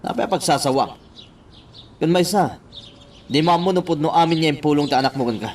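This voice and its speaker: A man acting a concerned, calm confidant in an Ilocano radio drama, questioning and coaxing. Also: on the high side, brisk, lightly acted. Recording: slightly distant mic, faint background noise.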